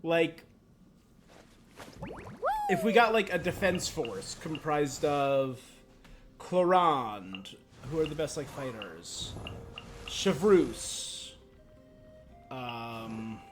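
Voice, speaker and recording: High-voiced man casually commentating a game. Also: animated, drawn-out words, pauses often, over music. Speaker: game livestreamer.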